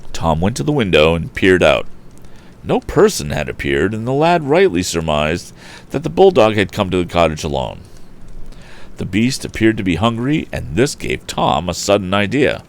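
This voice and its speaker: Male storyteller, low voice, highly varied intonation, reading narrative prose aloud for a livestream. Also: very animated, moderate pace, gravelly. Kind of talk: expressive audiobook-style story reading.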